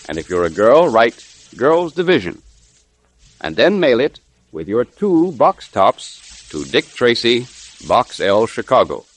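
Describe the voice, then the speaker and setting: A man's voice: medium pitch, low-quality recording. A man announcing and advertising to children in emphatic, salesmanlike 1930s radio style. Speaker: announcer and cereal pitchman. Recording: vintage 1930s radio recording.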